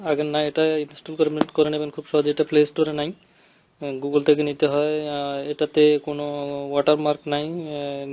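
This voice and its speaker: Bangladeshi male tutorial host, very monotone, stop-and-start step-by-step explaining, faintly noisy homemade recording. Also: on the high side. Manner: halting, repetitive step-by-step explaining. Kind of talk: informal screen-recorded app tutorial.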